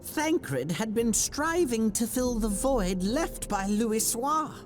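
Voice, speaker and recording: A woman's voice: fairly high pitch, sing-song, slow, music in the background. A woman voicing a sober, observant companion in a fantasy adventure game. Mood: sombre. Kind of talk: scripted fantasy game dialogue.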